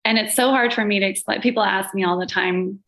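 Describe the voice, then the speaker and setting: A woman's voice: medium pitch, fairly even intonation, fast talker, creaky, a bit bright. A woman who learned Latvian at home as her first language, talking about herself in a casual interview with frequent little laughs and self-deprecating asides. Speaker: gallery owner and curator, podcast guest.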